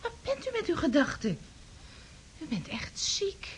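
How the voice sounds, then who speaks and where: An actress playing a placating but firm landlady in a radio play, coaxing and patronising, with a breathy voice. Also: extremely high pitch, huge pitch swings, a little slow, full character voice. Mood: soothing, firm. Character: placating, firm landlady humouring an unwell male lodger. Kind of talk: Dutch radio play acting.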